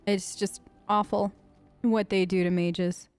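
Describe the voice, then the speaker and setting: A woman improvising in-character role-play chat for a let's play, casual and halting. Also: medium pitch, little pitch movement, darkish tone, smooth, faint music behind. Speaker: let's player role-playing game dialogue aloud.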